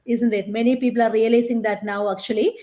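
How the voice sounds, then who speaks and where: A woman's voice: a little high, fairly even intonation, fast, mellow. A woman hosting a live online interview, chatty with many fillers and restarts. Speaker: host.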